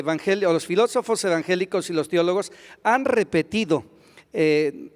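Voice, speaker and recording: High-voiced interpreter in a hall. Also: male voice. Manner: quick, with hesitant fillers and restarts. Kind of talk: consecutive interpretation of a lecture. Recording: long hall reverb.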